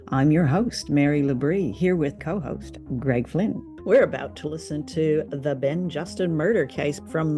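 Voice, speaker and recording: A woman host reading a scripted introduction to her show. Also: sits low.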